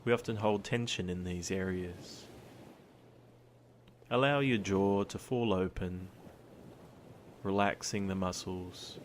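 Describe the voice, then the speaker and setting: A man guiding a meditation in a calm, soothing, gentle manner, with long pauses between his instructions. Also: sits low, slight background noise. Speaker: meditation guide. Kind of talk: guided body scan meditation. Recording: surf sounds behind the voice. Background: ocean surf ambience.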